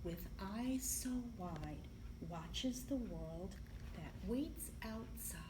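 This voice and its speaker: A woman reading a picture book aloud to young children in an expressive, deliberate storytelling manner, with emphasis on the sound words. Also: on the low side. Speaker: library storyteller. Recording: echoey room, clear background noise.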